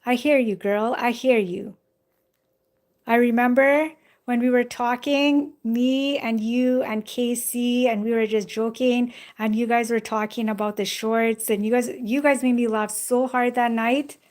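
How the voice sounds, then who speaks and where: A woman's voice: a little high, steady tone, a little slow, darkish tone. Chatty young woman on a phone live. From Jamaica. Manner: chatty, rambling, frequent "like" and "you know". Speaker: live stream host. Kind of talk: casual live chat reading viewer comments. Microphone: phone held in hand.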